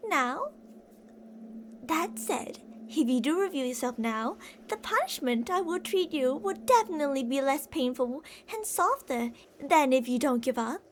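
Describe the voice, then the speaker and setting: Obsessive female yandere captor played by a woman, ASMR roleplay: high, very animated, theatrical, sugary endearments laced with menace. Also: unhurried, a little hiss or hum. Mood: scolding, sweet. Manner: theatrical, teasing sing-song with endearments and threats. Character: older obsessive yandere woman kidnapper, possessive, menacing, sweet-talking.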